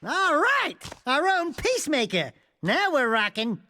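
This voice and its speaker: A man's voice: very high-pitched, wildly swooping pitch, measured pace, bright, forceful tone, heavily acted. An actor voicing a cocky, wisecracking small furry male animal sidekick in a video game, brash and hammy. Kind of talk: video game cutscene acting.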